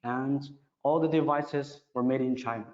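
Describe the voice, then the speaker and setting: Echoey, halting man presenting in non-native English. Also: medium pitch, steady tone, a little mellow. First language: non-native English speaker. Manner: halting, reads questions aloud then answers in simple phrasing. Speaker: company presenter answering audience questions. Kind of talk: webinar question-and-answer session. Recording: muffled audio in an echoey, reverberant room.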